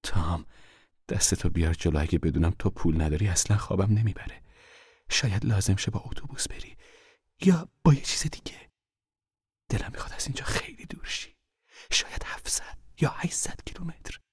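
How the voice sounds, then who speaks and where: A man voicing an older mother who fears for her fugitive son, speaking in a hushed, anxious whisper. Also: low-pitched, husky, no echo, clean studio sound. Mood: pleading whisper. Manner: anxious, pleading, protective.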